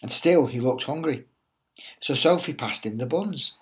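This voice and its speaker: Headteacher reading expressively, some background noise. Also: male voice, medium pitch, measured pace. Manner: expressive, reassuring, addressing young children. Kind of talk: reading a children's picture book aloud.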